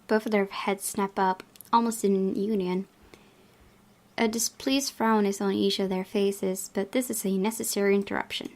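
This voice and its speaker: A woman hosting a casual game playthrough, reading and commenting, thinking aloud in a halting way. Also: medium pitch, little pitch movement. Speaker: gaming video host.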